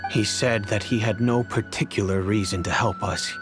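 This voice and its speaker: A low-pitched, grave older patriarch, voiced by a man in a video game, speaking slowly and solemnly like someone recounting old family history. Faint music sits under his voice. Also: steady tone, close to an everyday voice. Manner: measured, solemn storytelling.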